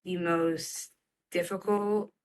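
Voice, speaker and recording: A woman's voice: on the low side, steady tone, slow, poor audio. A woman answering interview questions in casual chat, as a content creator guest. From United States and Egypt.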